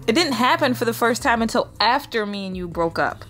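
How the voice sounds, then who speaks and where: A defiant, argumentative ex-wife in an audio drama. Her voice sits a little high and moves with animated pitch. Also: a little fast, faint music behind. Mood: defensive. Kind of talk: modern audio drama acting.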